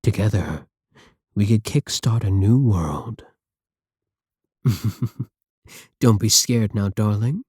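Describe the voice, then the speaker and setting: A man's voice: on the low side, lively intonation, slow pace, long pauses, a little mellow, breathy edge, no room sound, studio quality, exaggerated voice. A man playing a possessive, seductive supervillain in an ASMR audio roleplay, with theatrical, condescending endearments and an idealistic contempt for the world.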